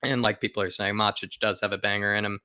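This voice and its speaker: A man's voice: on the low side, little pitch movement, fast, pushed and bright, no room sound, clean studio sound. A man hosting a football fan livestream, chatting casually and emphatically to viewers, often repeating his phrases for stress. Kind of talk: casual live fan chat, reading viewer comments aloud.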